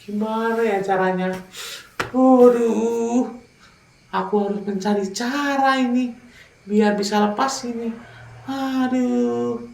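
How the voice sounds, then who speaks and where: A man's voice: extremely high pitch, steady tone, slow pace, vocal fry, long pauses, a little mellow, echoey room, far from the mic, slight background noise, voice pushed up, full character voice, rough recording. A man voicing a small, clever mouse deer in a children's fable, wheedling and childlike. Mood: worried.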